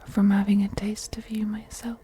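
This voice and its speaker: A woman playing a wealthy elder vampire in an intimate audio drama, soft and breathy, speaking in a teasing, condescending, seductive manner. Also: medium pitch, flat delivery, a little hiss or hum, lightly acted. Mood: amused, curious. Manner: slow, teasing, condescending, drawn-out phrasing with breathy whispers.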